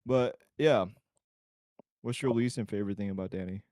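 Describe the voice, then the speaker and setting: A man's voice: on the low side, moderate pace, warm tone, dry recording, clean studio sound. A man hosting a casual podcast, teasing his co-host and laughing a lot.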